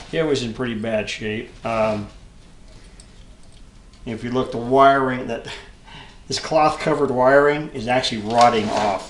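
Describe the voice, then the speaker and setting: A man in his seventies giving a casual, unscripted hobby show-and-tell, halting and rambling as he thinks aloud. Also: medium pitch. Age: 70s. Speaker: hobbyist vlogger showing vintage electronics. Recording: echoey room with clear background noise and clicks.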